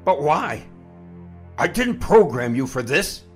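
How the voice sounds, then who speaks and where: A man's voice: on the high side, very animated, slow pace, soft background music. A man playing a cornered older scientist. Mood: desperate. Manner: pleading and agitated. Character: desperate older male scientist, defiant but cornered. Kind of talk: audio drama acting.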